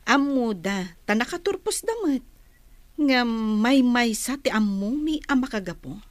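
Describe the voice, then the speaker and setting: A prying female office superior with an Ilocano accent. Her voice sits high and swoops through pointed, insinuating lines, recorded close and dry for radio drama. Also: very animated, a little slow, no room sound. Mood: sarcastic, scolding.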